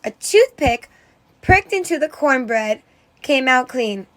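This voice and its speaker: High-pitched woman reading a children's story aloud. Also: animated, slow, a bit bright, poor audio. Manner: dramatic emphasis on exclamations and character lines. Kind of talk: expressive children's read-aloud narration.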